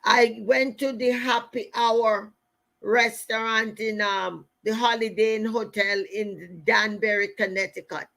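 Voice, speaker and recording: This is a middle-aged Jamaican woman speaking as a motivational host. Her voice sits fairly high for a woman and she takes her time, at a markedly slow pace. She tells personal stories and drives points home emphatically, repeating key phrases as she addresses listeners directly. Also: fairly bright tone. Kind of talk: motivational talk with personal storytelling.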